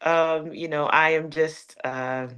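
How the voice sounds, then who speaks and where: A woman's voice: low-pitched, steady tone, slow pace, fairly bright tone, clean tone. A woman in her thirties from Connecticut with an American accent, chatting casually and informally as an interview guest. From Connecticut, United States. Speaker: guest, gospel recording artist. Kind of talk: casual chat.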